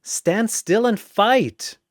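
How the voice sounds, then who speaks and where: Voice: medium pitch, very slow, no echo, studio quality, voice pushed up. A narrator voicing a tough, blunt, hot-tempered young woman brawler with a curt, combative manner in an audio story.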